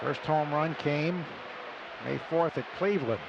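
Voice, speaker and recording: A man calling a baseball game play by play on live radio in clipped, deliberate phrases. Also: a little high, gravelly, dull audio. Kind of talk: live radio sports commentary with sponsor reads. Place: stadium broadcast booth. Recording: noisy live ballpark radio broadcast.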